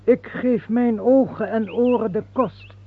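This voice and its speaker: High, slow-spoken wary baron. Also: male voice, steady tone, heavily acted. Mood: suspicious. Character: nervous, suspicious, servile baron at court. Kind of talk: radio drama acting. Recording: muffled, phone-like old radio play recording.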